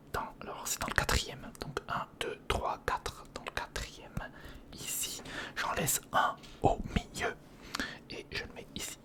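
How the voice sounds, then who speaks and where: An ASMR creator speaking in a breathy, unvoiced whisper, casually thinking aloud and repeating words, close to the microphone. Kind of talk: ASMR, whispered build-along commentary. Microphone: close recorder microphone.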